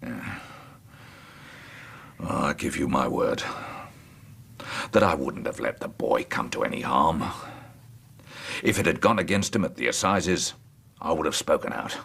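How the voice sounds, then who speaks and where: An actor in a radio drama playing a dying old landowner confessing a killing, bitter and unrepentant, with a gravelly, husky voice. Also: male voice, a bass voice, a little slow, long pauses, fairly bright tone, full character voice. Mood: remorseful, tender. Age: older.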